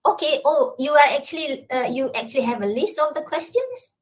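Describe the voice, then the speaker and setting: A woman's voice: high-pitched, animated, fairly quick. A woman lecturer with a Malaysian English accent, answering audience questions at a talk and checking understanding with frequent tag questions. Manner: frequent tag questions like "yeah?" and "all right?". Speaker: university lecturer.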